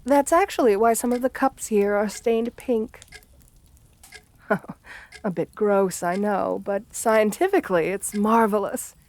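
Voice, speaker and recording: An assertive, seductive countess with an air of the vampire about her, played by a woman. Her voice sits at a medium pitch for a woman. She speaks intimately, as in an ASMR roleplay, flirtatious and soothing with genteel poise. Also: takes it slowly, darkish tone. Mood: genteel, coaxing.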